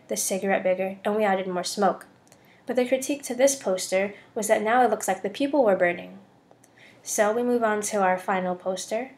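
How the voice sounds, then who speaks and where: A woman's voice: mid-range voice, a little mellow. Student presenter, young American female, mid-range voice, scripted slideshow narration. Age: young adult.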